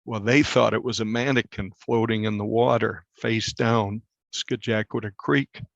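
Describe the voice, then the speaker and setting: A man working as a reporter, telling a story conversationally in an interview in a measured, explanatory way. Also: lowish voice, fairly even intonation, vocal fry, a bit bright, dry recording, dull audio. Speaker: newspaper reporter being interviewed.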